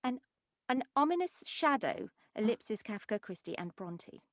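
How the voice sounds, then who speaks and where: A woman narrates the action of a cartoon script from the page. Her mid-pitched voice moves around a lot in tone. The recording is close and dry. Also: sing-song, unhurried, dry recording. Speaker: stage-direction reader. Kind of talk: scripted narration at a table read.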